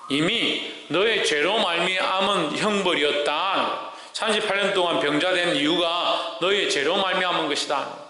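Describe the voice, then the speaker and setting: Korean regional-dialect preacher in a ringing hall. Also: male voice, a little high, sharp tone. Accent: South Korean regional dialect. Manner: insistent rhetorical questions, prods listeners for answers. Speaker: pastor preaching to a congregation. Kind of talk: verse-by-verse sermon with scripture reading. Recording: echoey hall with long reverb.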